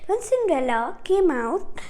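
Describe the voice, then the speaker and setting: A female storyteller with an extremely high, childlike voice tells a fairy tale in her own words. Her pitch moves up and down in a lively way and her pace is slow. She halts, repeats herself and slips into bits of dialogue. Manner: halting, repeats and stumbles over words, dramatizes dialogue. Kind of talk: retelling a fairy tale aloud from memory, unscripted.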